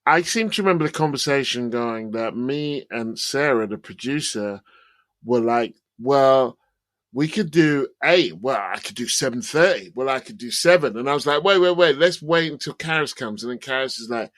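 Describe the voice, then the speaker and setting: Animated storyteller man, dry close sound. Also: mid-range voice, moderate pace, no room sound. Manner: animated storyteller who acts out quoted voices. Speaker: podcast co-host. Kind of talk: casual chat.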